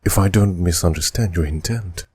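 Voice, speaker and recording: A man voicing a grave, grieving dragon sovereign and chief judge in a game dub, with formal, measured questioning and a husky, breathy voice. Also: deep voice, a little mellow, no room sound. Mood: solemn realization. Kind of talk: video game dub voice impression.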